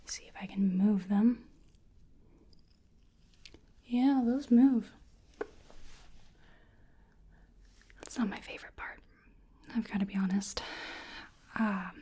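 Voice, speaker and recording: A woman playing a hesitant, curious creature researcher in a soft-spoken, whispered ASMR exam roleplay, musing gently and apologetically. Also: medium pitch, breathy edge. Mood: hesitant, apologetic.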